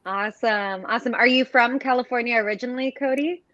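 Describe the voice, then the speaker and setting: A woman's voice: on the high side, fast talker, fairly bright tone, dry recording. A young woman from Ohio hosting a live interview, upbeat and often saying "awesome". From Ohio, USA. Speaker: host. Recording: dull lo-fi sound.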